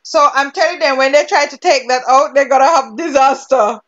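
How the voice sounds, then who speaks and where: A woman's voice: high-pitched, fairly quick, a bit bright, muffled recording. A woman with a Jamaican accent teaching a cooking class over a video call, giving step-by-step directions and laughing easily. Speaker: cooking instructor.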